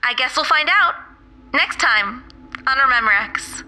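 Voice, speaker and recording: A woman's voice: sits high, animated, unhurried, sharp tone, rough recording. A woman reading dramatic scripted narration, like the recap of a serial story.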